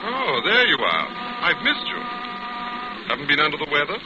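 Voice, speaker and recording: An actor playing a suave, self-assured detective in a 1940s radio drama, on an old noisy recording. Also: male voice, fairly high pitch, wildly swooping pitch, normal speed, muffled recording. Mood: tender.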